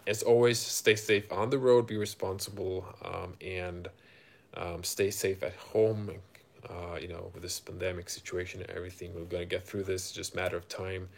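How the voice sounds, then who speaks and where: Low, creaky-voiced Croatian man chatting. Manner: hesitant, halting, thinking aloud. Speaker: car reviewer hosting a live stream. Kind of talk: casual unscripted chat with viewers.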